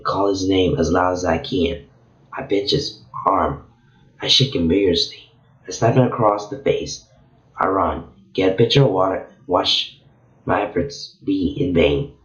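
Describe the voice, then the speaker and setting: A man from Venezuela, hoarse from losing his voice, reading a story chapter aloud in a casual, halting way with frequent slips. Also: sits low. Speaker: channel host reading a story. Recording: echoey room, dull lo-fi sound.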